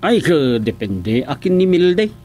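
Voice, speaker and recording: A man's voice: on the high side, big pitch swings, slow pace, darkish tone, heavily acted. A man with an Ilocano accent acting a boastful, coaxing suitor in a comedic radio drama. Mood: casual, evasive.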